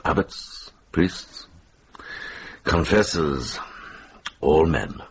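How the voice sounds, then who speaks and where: A worldly medieval monk with an extremely deep voice full of gravel. He is shrewd and calm, speaking in a wry, deliberate way for radio drama, over faint noise. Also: full character voice. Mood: reasoning, wry.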